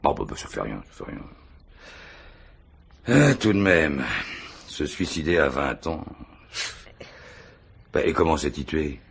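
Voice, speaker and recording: A man's voice: rumbling low, big pitch swings, measured pace, gravelly, pauses often, husky, heavily acted. An actor playing a dogged, falsely casual police commissioner in a French radio mystery, muttering and repeating himself as he probes. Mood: casually probing. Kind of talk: French radio play acting.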